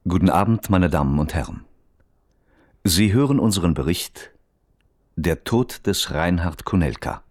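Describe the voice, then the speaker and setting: A man's voice: sits low, animated, a little slow, long pauses, darkish tone, dry recording, clean studio sound. A man playing a radio reporter who narrates a crime case in a formal, precise, matter-of-fact documentary style. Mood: sober, factual.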